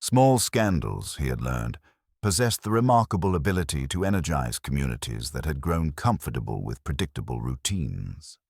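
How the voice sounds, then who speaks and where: A man narrating a scripted mystery story in a measured, formal, literary manner. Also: extremely low pitch, very animated, creaky, a little mellow, slightly rough, no room sound, studio quality. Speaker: audiobook narrator.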